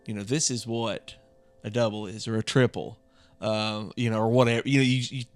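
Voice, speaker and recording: A man's voice: fairly low pitch, steady tone, fairly quick, faint music behind. A man co-hosting a podcast, chatting casually and explaining his take with conversational asides. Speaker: podcast co-host. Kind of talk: casual chat.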